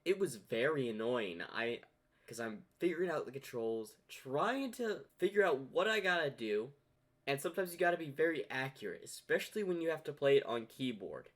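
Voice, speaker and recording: Brief: male reaction host, mid-pitched, expressive, informal commentary. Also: moderate pace.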